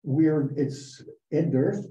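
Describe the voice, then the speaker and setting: A man reporting to a town board meeting, talking in a halting way with many fillers and restarts. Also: fairly high pitch, warm tone. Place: town hall meeting room. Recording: echoey, muffled meeting room recording.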